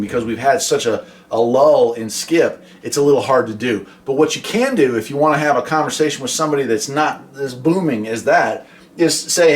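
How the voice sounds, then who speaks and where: Chatty american guy, mid pitched voice, folksy hobby vlogger just explaining stuff casually. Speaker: hobby vlogger and CB radio operator. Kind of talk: casual explainer talk with radio call demonstrations.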